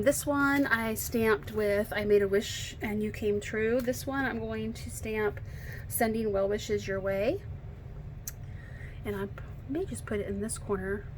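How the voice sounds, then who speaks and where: A woman talks her way through a card-making tutorial at home. Her voice sounds slightly froggy and hoarse, and her pitch sits in the middle. She chats loosely and teases herself as she works, with a faint room hum behind her. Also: unhurried, poor audio. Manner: chatty, self-deprecating, thinks aloud while working. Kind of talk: casual craft tutorial chat. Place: home craft table, indoors.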